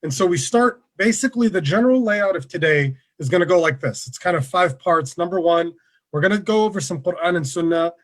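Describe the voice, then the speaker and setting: A man presenting an online workshop over a video call, relaxed and conversational, with many fillers. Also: sits high, a little fast. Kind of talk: casual online lecture.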